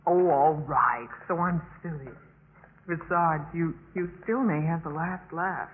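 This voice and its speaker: A woman's voice: deep voice, a little slow. An actress playing an earnest, wide-eyed young college girl with a gushing, sincere manner in a 1950s radio drama. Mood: earnest, wistful. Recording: noisy, tinny 1950s radio recording.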